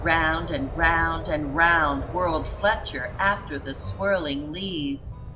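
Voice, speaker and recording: A woman reading a children's picture book aloud in a gentle, expressive way. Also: sits low, takes it slowly, music in the background, rough recording. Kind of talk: storybook read-aloud.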